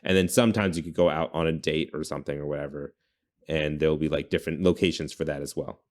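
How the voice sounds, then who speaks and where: A man's voice: rumbling low, steady tone, fast talker, a little mellow. A man hosting a podcast, chatting casually and informally about video games in a rambling way. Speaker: podcast host.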